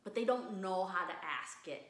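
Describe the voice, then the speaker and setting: A woman from Vietnam, a guest in a casual interview, speaking thoughtfully with many restarts and pauses. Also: medium pitch. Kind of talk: casual conversation. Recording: roomy echo.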